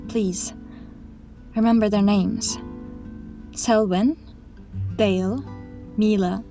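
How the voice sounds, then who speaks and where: A woman acts out a righteous young heroine of a fantasy audio drama, carrying grief. She speaks slowly and deliberately, in a medium-pitched voice. Faint music plays behind her. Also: fairly even intonation, mellow. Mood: tender, grieving. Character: tall, grieving, righteous young woman in inquisitor's disguise.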